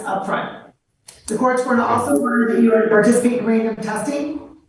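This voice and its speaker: A woman presiding as a judge in court, speaking in a firm, measured way and stopping people to question them. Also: a little high. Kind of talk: court proceedings over video. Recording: echoey and distant with faint noise.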